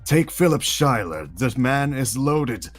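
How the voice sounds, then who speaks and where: A man voicing a sinister cartoon jackal villain, deliberate and sardonic, narrating a musical. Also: mid-range voice, sing-song. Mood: sly, mocking. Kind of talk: character narration of a stage musical.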